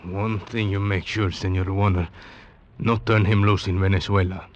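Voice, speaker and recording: A man's voice: sits low, little pitch movement, moderate pace, lightly acted. An actor playing a superstitious male snake hunter who speaks broken English, in a 1940s radio drama. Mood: ominous. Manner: broken English, grave and stubborn. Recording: dull old radio recording with faint hiss.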